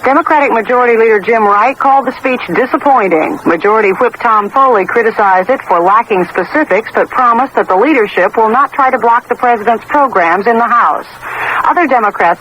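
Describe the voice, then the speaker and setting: A woman's voice: on the high side, fairly bright tone, rough recording. A woman with an American accent giving a scripted radio news report as a political correspondent. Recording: old radio broadcast with faint hiss.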